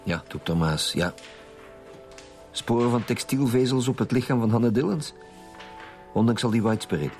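A man's voice: medium pitch, expressive, moderate pace, long pauses, a little mellow, faint music behind, close to an everyday voice. An actor playing a stern, dogged male chief inspector in a radio drama, speaking blunt, commanding Flemish Dutch. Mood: businesslike.

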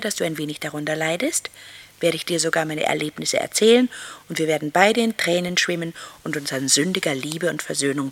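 A theatrical wife bidding farewell, in a bright, intense voice. She declaims romantically and melodramatically, as in 1960s German radio play acting. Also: sits low, slightly rough, exaggerated voice. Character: theatrical, romantic runaway wife reading her farewell letter.

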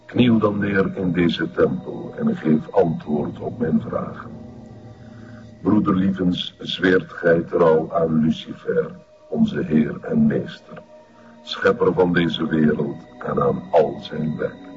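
An actor playing a solemn, commanding satanic cult leader in a television drama, speaking in a pompous, ceremonial manner. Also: male voice, extremely low pitch, takes it slowly. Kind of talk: television crime drama acting. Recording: muffled lo-fi sound, music behind.

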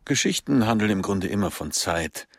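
A deep-voiced man reflects like a philosopher in a radio play. His voice is gravelly, with lively intonation, and music plays behind him. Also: moderate pace, dry recording, studio quality, full character voice. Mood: reflective. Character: wry, philosophical male storyteller reflecting on time. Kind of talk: literary radio drama monologue. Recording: music under the voice.

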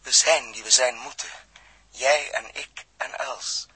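Husky, fading astronaut, slow and breathy. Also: male voice, medium pitch, fairly even intonation, sharp tone, dry recording, heavily acted, low-quality recording. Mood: wistful. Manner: halting, repeats words. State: weak, gasping for air. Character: dying young male astronaut, gentle and resigned. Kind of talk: 1960s radio drama acting. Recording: old 1960s radio recording.

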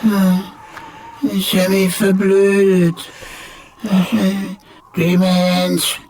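A cheeky little computer sidekick is running out of power in a radio play. It speaks extremely slowly, stammers and repeats itself with whiny melodrama, over faint noise. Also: female voice, mid-range voice, monotone, a little mellow, rough recording. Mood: confused, failing. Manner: stammering, repeating words, theatrical lament. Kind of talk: German radio play acting.

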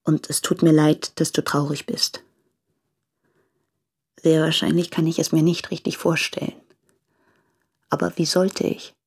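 An actress playing a tender, regretful woman addressing her former lover in a radio play, speaking in a reflective, restrained, deliberate way. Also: sits low, little pitch movement, a little mellow, breathy edge, exaggerated voice. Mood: compassionate, sad. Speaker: radio drama actor. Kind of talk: intimate literary German radio play monologue.

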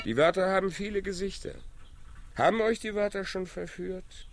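A man's voice: sits high, moderate pace, a little hiss or hum. An actor playing an ominous, talkative village workman who delivers rhetorical warnings in a radio drama. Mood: ominous, insistent. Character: one-handed village workman, prophetic, ominous, talkative orator.

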